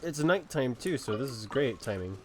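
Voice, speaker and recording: A man's voice: mid-range voice, expressive, moderate pace, a little mellow. A man playing a game and reacting casually in short comments. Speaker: game player. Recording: commentary over game music.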